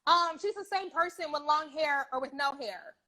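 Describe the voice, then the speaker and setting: A woman's voice: high voice, moderate pace, bright, forceful tone. An American woman hosting a casual discussion, speaking frankly and emphatically, swearing freely and acting out imagined quotes. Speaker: host of a live discussion show. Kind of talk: casual opinionated talk with callers.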